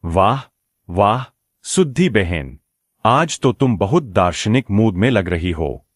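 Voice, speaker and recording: A man's voice: fairly low pitch, sing-song, slow pace, no room sound, clean studio sound, full character voice. A man voicing a sly, scheming wolf in a cartoon moral story, oily and mock-friendly in a theatrical way. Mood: falsely friendly. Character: sly scheming male wolf, falsely friendly, muttering plots.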